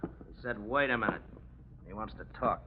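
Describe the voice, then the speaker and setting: A man's voice: lowish voice, moderate pace, husky. A man playing a jealous club-owner heavy in an old radio crime drama, talking in cold, slangy threats. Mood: cold, controlled. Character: menacing, jealous male club-owner tough guy. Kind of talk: 1940s-style radio crime drama acting. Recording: dull, faintly noisy old radio recording.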